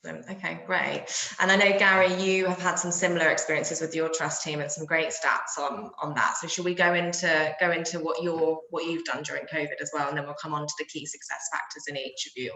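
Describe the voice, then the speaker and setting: A woman hosting a panel discussion, brisk and encouraging with her guests. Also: low-pitched, fairly even intonation, creaky, sharp tone, roomy sound, low-quality recording. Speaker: panel host.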